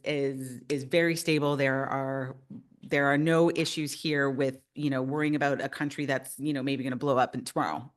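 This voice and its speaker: A woman in her fifties from Canada, presenting a webinar as a realtor in an upbeat, enthusiastic way. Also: rumbling low.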